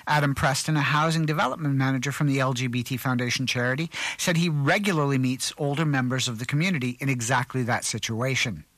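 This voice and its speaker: A man's voice: mid-range voice, quick pace, few pauses, a bit bright, no room sound. A middle-aged man reading a news story on a community radio show, with small slips and restarts. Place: radio studio.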